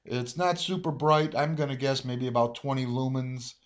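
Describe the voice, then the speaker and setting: A man's voice: medium pitch, normal speed. An American man chatting casually as he reviews a product, rambling and hesitant as he thinks aloud. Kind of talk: casual unboxing chat. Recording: roomy, dull lo-fi amateur audio, faint hiss.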